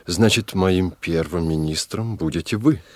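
An actor playing a freed captive prince in a radio play, resolute and calculating, speaking formally and deliberately. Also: male voice, low-pitched, darkish tone, no echo. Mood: calculating, regal. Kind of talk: Russian radio play acting.

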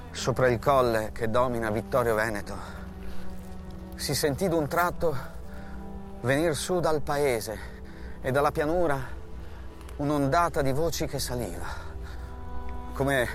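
A man giving an expressive literary reading of a memoir, like a storyteller. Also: medium pitch. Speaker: reader of a written memoir. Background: music.

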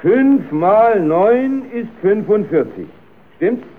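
An actor playing an instructive, easily exasperated carousel ticket seller in an old radio play. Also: male voice, high-pitched, lively intonation, takes it slowly. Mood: patient, businesslike. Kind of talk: old radio play, comic dialogue acting. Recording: tinny, narrow-band old radio recording.

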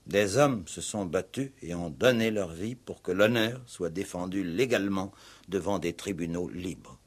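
An actor in a 1960s French radio play playing a stern, principled police commissioner with a measured, formal, authoritative delivery. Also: male voice, sits low. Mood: stern, solemn.

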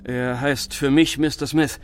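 An actor playing an anxious, urgent go-between in a German radio drama, speaking in a pressing, insistent way. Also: male voice, medium pitch, fairly even intonation, unhurried, natural voice. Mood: grim, wary. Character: anxious, urgent male go-between warning of danger.

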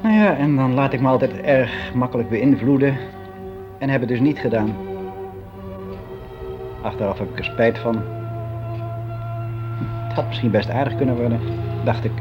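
A man's voice: medium pitch, over music, natural voice. Man playing a timid sixty-year-old clerk, muffled recording. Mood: wistful, regretful. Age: 60s. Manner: halting, confiding, colloquial, with hesitant self-corrections. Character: sixty-year-old male office employee, lonely, timid, regretful, candid. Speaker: actor performing a radio monologue. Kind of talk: conversational radio drama monologue. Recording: dull, lo-fi old radio recording.

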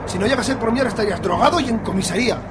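A man playing an excitable, scolding friend in an amateur radio soap. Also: high voice, very fast, very noisy, lightly acted.

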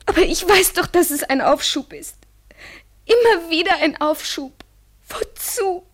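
A woman's voice: very high voice, lively intonation, a little slow. An actress playing a fragile, anxious woman in love in a literary German radio play, hesitant and intimate, often near a whisper. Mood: anxious, pleading.

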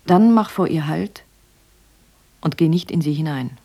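An actress voicing a solemn storyteller who measuredly announces a radio play and recites from an old Arabian tale. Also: female voice, low-pitched, lively intonation, a little slow, gravelly, mellow, breathy edge, full character voice. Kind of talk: radio drama title announcement and literary recitation.

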